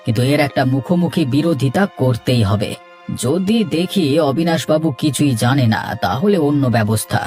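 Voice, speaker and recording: A man's voice: medium pitch, expressive, normal speed, darkish tone, soft background music, close to an everyday voice. A man voicing a concerned, defiant younger villager in a cartoon, earnest and resolute. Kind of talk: Bengali moral-story cartoon dubbing.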